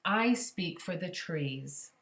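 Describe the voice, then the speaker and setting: A woman's voice: fairly low pitch, expressive, slow pace, a bit bright, reverberant, exaggerated voice. Woman voicing bossy old woodland creature, indignant and sharpish. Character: small bossy old mossy creature guarding the trees.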